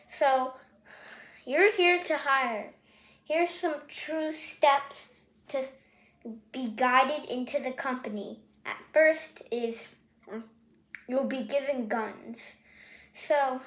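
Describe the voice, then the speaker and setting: A woman playing a scarred staff trainer at a dinosaur capture company, giving halting, improvised orientation in an amateur roleplay. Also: sits high, a bit bright. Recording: echoey room.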